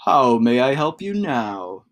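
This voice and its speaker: A man voicing a mysterious, obliging shapeshifter from a storybook, with slow, drawn-out delivery. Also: medium pitch, big pitch swings. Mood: obliging, knowing. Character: mysterious shapeshifter, obliging magical wish-granter.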